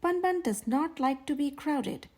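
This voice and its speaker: Little boy scientist, played by a woman: stern, precise, high, animated storybook reading. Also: a little slow. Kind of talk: children's storybook read-aloud.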